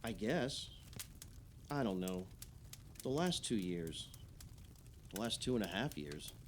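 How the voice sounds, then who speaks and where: A man's voice: lowish voice, very animated, slow. A man acting a wistful, weary friend who broods in a radio play. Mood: resigned. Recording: loud background noise.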